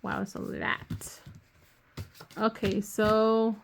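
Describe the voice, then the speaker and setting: A woman's voice: medium pitch, little pitch movement, extremely slow, gravelly, a little hiss or hum. A woman giving a casual tarot reading who is losing her voice, rambling and often restarting her sentences. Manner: swears casually. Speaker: tarot reader.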